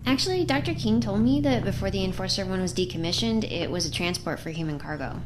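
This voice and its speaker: A woman's voice: mid-range voice, lively intonation, fast talker, lightly acted. A woman playing an earnest, plucky young science intern in an audio drama, matter-of-fact and polite. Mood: explanatory. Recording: clear background noise.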